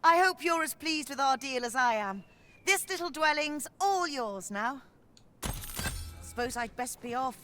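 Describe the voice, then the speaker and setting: A friendly fantasy-game townswoman, chatting familiarly in old-world phrases. Her voice is high and her manner talkative. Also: animated, measured pace, a bit bright, soft background music. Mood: cheerful, chatty.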